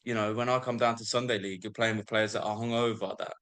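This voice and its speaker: A British man with a fairly low voice who leads a podcast conversation. He asks engaged questions and tells casual stories in an easy way. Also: fairly even intonation, fast, fairly bright tone. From United Kingdom. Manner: engaged interviewer, casual storytelling. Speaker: podcast host.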